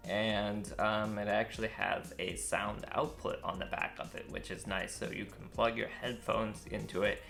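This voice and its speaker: A young man with a very low, deep voice that has a gravelly edge to it. He talks in a relaxed, thoughtful way, pausing on little ums and uhs as he reviews his gear outdoors. His speech has an easygoing vlog feel. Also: faint music behind. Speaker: vlogger reviewing a microphone. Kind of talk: casual product review. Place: outdoors with birds nearby. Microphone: shotgun mic on phone.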